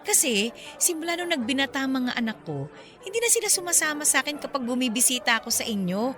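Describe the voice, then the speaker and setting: A woman playing a chatty, excitable middle-aged mother confiding in her best friend in a radio drama. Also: high voice, quick pace, over music. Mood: intrigued, teasing. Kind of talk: conversational radio drama acting.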